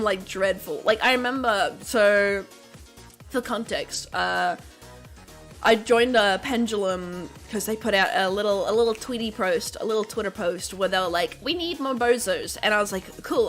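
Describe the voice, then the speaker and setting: A woman's voice: medium pitch, a bit bright. A woman with a casual Australian accent chats away on her livestream in a mid-range voice. She laughs often and swears without a second thought. She talks loosely and cheerfully, like she is hanging out with her viewers. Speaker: VTuber streamer.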